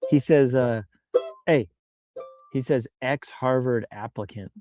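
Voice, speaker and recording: Man riffing casually, mellow voice over music. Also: mid-range voice, slow pace, no room sound. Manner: playful, rambling, breaks off into asides and chuckles. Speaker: host reading and riffing on social media posts. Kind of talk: casual, improvised comic commentary.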